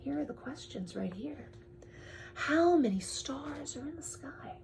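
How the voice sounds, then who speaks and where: A woman reads a picture book aloud to a young child in drawn-out phrases, often dropping to a hushed tone and adding chatty asides. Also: high-pitched, animated, creaky, slight background noise. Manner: addresses the child with questions and pointers. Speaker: storyteller reading to a young child.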